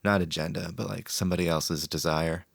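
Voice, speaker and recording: Deep-voiced man thinking aloud. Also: fairly even intonation, a little fast, creaky, no echo, studio quality. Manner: reflective, thinks aloud with many restarts. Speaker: podcast guest. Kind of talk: casual panel discussion.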